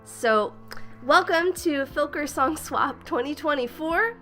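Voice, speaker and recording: A woman's voice: sits high, slow pace, a bit bright, faint music behind. A woman hosting a casual livestream, slightly stuffy from a cold, chatty and upbeat, greeting viewers by name and laughing often. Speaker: livestream host.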